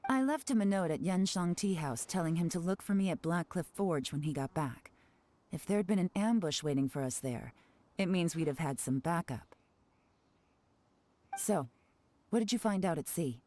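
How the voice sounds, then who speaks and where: A woman voicing a shrewd, self-assured female intelligence agent in a video game, composed and deliberate. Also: lowish voice, animated, warm tone, slight background noise, close to an everyday voice. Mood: composed, commanding.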